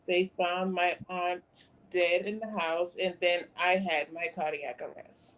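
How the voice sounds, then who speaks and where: A woman in her fifties, a guest on a talk show, recounting events in a plain, soft-spoken way. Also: fairly low pitch, steady tone, unhurried, low-quality recording. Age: 50s. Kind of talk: interview conversation.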